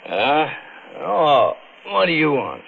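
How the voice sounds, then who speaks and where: An actor playing a blunt, wary police sergeant with a curt, brusque manner in 1950s radio drama style. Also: male voice, fairly high pitch, sing-song, takes it slowly, vocal fry, breathy edge, full character voice. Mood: grumpy. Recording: muffled old radio recording.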